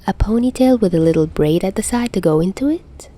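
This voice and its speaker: A woman's voice: medium pitch, lively intonation, a little fast, warm tone, natural voice. A woman voicing a teasing young man in a story, mock-parental and overdramatic in manner. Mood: soft.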